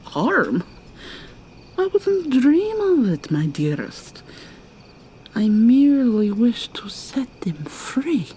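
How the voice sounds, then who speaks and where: A woman voicing a seductive, menacing vampire mermaid villainess in an ASMR roleplay, taunting and purring with sudden high swoops. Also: very animated, drawn-out words, long pauses, dark tone, a little hiss or hum, heavily acted, poor audio.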